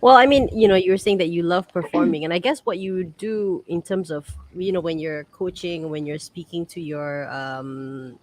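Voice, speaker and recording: Chatty Malaysian woman host, lowish voice. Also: normal speed, hardly any pauses, rough recording. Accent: Malaysian English. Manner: friendly and talkative, with fillers. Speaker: livestream host. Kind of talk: casual livestream interview.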